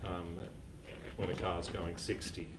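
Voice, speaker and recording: A man co-hosting a casual live stream, speaking in an easygoing, halting way full of "um"s and dry little jokes. His voice is very low. He sounds a step back from the microphone, with a faint hum underneath. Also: flat delivery. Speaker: co-host. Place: community repair centre. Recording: distant mic with faint background noise.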